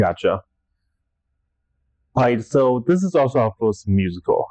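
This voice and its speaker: A man's voice: medium pitch, sing-song, measured pace, dark tone, no echo. A young man co-hosting a casual podcast chat, easygoing and a bit hesitant. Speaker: podcast co-host. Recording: dry, dull lo-fi sound.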